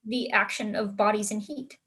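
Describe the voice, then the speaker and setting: A woman's voice: medium pitch, fairly even intonation, normal speed, pushed and bright, lightly acted. A young woman acting a precocious sixteen-year-old aristocratic girl in a staged reading, emphatic and self-assured. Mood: confident, scornful. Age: young adult. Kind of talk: staged reading of a period comedy.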